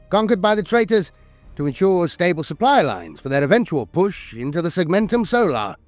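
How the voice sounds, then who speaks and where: A man reading scripted lore narration in a solemn, measured storytelling manner with deliberate dramatic pauses. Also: fairly high pitch. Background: background music.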